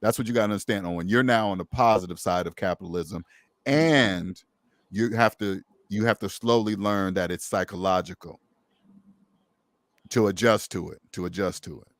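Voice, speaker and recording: A man's voice: sits low, moderate pace, no echo, studio quality. A man hosting a casual conversation, speaking emphatically and preachily, repeating phrases for stress. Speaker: podcast host.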